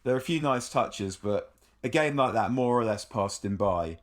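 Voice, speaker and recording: A man co-hosting a podcast who chats casually about football in an animated way, with chuckles and quick asides. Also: on the low side. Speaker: podcast co-host.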